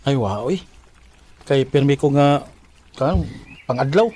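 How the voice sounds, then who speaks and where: An actor with a Cebuano Filipino accent playing an earnest, easily hurt, lovelorn worker in a Bisaya radio drama. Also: male voice, mid-range voice, expressive, slow pace, dark tone. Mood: earnest, shy.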